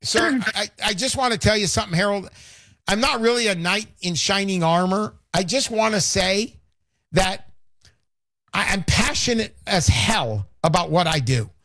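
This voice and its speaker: Male radio pitchman, sixties. High-pitched, emphatic, folksy and boastful, recorded very dry. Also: expressive, moderate pace, bright, forceful tone, no room sound. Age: 60s. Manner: fervent, emphatic, repeats himself. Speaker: radio call-in show host and pitchman. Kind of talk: live radio sales talk.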